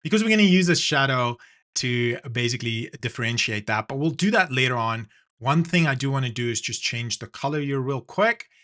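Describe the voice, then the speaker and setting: A man's voice: medium pitch, expressive, fairly quick, fairly bright tone. A man from South Africa narrating a screen-recorded design tutorial, talking casually and walking through each step with the odd filler.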